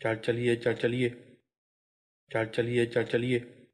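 A man voicing an excitable comic village soldier with a Punjabi accent, calling out short exclamatory squad commands for a game. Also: medium pitch, unhurried, natural voice. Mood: gruff, low-key. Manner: exclamatory, starts calls with "oye".

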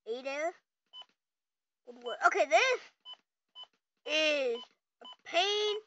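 A high voice, chatting casually and excitably while unboxing something at home. The handheld recording is muffled, with clear noise around the speech. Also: drawn-out words. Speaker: unboxing video host. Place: small room at home, indoors. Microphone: handheld camera or phone. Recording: noisy, dull handheld camera audio.

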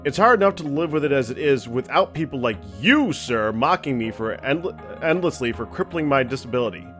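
A man's voice: medium pitch, expressive, fairly quick, a bit bright, close to an everyday voice. Man doing a touchy, theatrical temple priest. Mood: indignant. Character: aggrieved, self-pitying male temple priest, absurdly touchy. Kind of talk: fan dub of comic role-playing game dialogue. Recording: music behind the voice.

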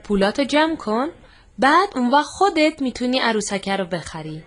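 A woman voicing a gentle, loving mother who speaks softly and patiently. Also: on the high side, sing-song. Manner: soft, tender, patient.

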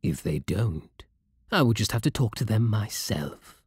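Man as breathy, husky British vampire king. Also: fairly low pitch, sing-song, measured pace, no room sound, clean studio sound, full character voice. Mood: quietly menacing. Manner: silky, formal, commanding. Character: possessive, courtly, menacing vampire king. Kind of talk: slow intimate ASMR roleplay.

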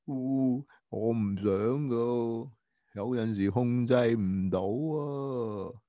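A man voicing an apologetic, careless young boy in a children's radio drama, with drawn-out, hesitant excuses. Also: medium pitch, no echo, muffled recording. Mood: sheepish.

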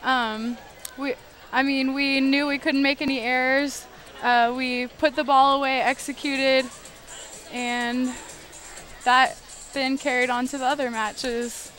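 A woman's voice: sits high, little pitch movement, slow, a bit bright, soft background music. A young woman college volleyball player answering interview questions eagerly, with many fillers. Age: young adult. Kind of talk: postgame interview. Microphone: headset mic.

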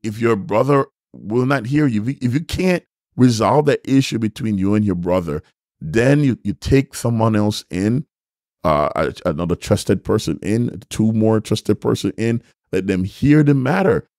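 A middle-aged man giving sermon-like Bible teaching, speaking deliberately and repeating his points for emphasis, close to a microphone. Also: lowish voice, lively intonation, a little mellow, no room sound. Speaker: bishop and host of a church teaching show. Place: small furnished room, indoors. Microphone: microphone on a stand, close in front.

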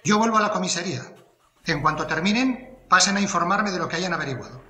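Man acting a bright-voiced, commanding police inspector. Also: high voice, expressive, quick pace, roomy sound. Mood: authoritative. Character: tall, commanding, methodical male police inspector. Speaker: actor in an audiobook. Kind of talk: dramatised crime audiobook dialogue.

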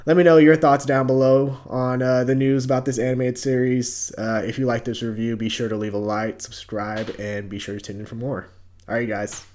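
A man's voice: mid-range voice, level tone, normal speed, a little mellow. An American man in his twenties with a mid-range voice hosts a casual commentary video. He talks in a laid-back, slangy way and often sounds hesitant as he gives his take. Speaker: YouTube host reviewing entertainment news.

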